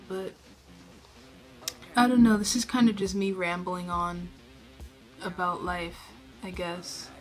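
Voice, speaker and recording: Teen girl vlogger, low flat-ish subdued talk, rambling, faint music under. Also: slow, long pauses. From New York State. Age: teens. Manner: low subdued voice, self-conscious, rambling with many fillers. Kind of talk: casual rambling to camera. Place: her bedroom. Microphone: camera in her room.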